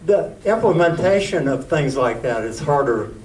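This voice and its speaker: An older man giving a slow, deliberate explanation at a public talk in a museum meeting room. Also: on the high side, expressive, darkish tone, slight background noise. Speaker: guest speaker. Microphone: handheld mic, far camera. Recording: roomy, distant camera audio.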